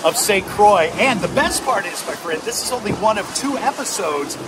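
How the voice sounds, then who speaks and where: A man's voice: high-pitched, animated, normal speed. A man hosting a travel show on camera outdoors, talking to the viewer in a friendly, upbeat way. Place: outdoors, on a boat and at an outdoor bar. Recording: loud outdoor background noise.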